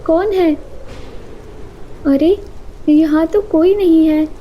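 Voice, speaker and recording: A woman's voice: very high voice, slow pace, dull audio, a little hiss or hum, voice pushed up. A woman voicing a kind, anxious little female bird in a cartoon, with an earnest, pleading manner. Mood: startled, curious.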